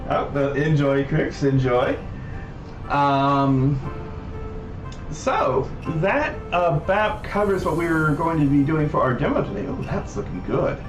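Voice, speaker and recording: A man hosting a casual livestream, talking in a rambling, halting way. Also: a little high, big pitch swings. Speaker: livestream host. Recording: roomy echo, distant mic, background music.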